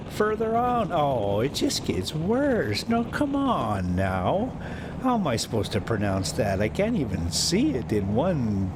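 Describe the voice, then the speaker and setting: A man reading a storybook aloud with folksy, self-mocking asides, halting over the hard words. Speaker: story reader and host. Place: inside a moving diesel motorhome on a city drive. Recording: clear vehicle rumble behind voice. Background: motorhome engine and road noise.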